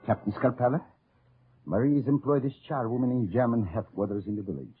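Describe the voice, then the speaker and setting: An actor playing a sly, courteous French resistance leader with a French accent and formal, wry phrasing, in old radio drama style. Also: male voice, medium pitch, animated, quick pace, lightly acted. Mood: wry, businesslike. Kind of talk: 1940s-50s radio drama acting. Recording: muffled noisy old radio recording.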